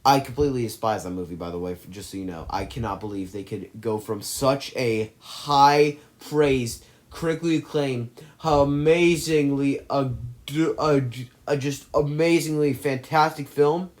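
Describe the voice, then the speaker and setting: A man's voice: medium pitch, moderate pace. A man giving casual, effusive commentary on his favorite movies, rambling and correcting himself as he goes. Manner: gushing, effusive praise. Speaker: movie list vlogger.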